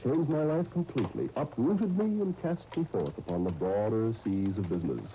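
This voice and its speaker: A man's voice: mid-range voice, lively intonation, normal speed, natural voice. An actor playing a genial, self-mocking young businessman with playful, mock-grand phrasing in a 1930s radio drama. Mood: jovial. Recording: dull, noisy 1939 radio broadcast.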